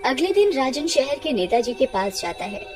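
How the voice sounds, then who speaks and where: High-voiced woman narrating a story. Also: big pitch swings, moderate pace, a little mellow, soft background music. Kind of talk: scripted dramatic story narration.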